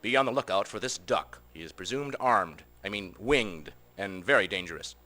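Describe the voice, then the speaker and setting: A man playing a deadpan, mock-serious bulletin announcer in a cartoon video game. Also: lowish voice, moderate pace, close to an everyday voice. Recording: quiet distant game audio, clearly noisy.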